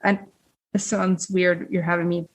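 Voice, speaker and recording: A woman's voice: fairly low pitch, flat delivery, unhurried. Woman coach on a podcast, earnest and thoughtful, repeats words when she's thinking. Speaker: executive coach and guest. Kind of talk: reflective conversation.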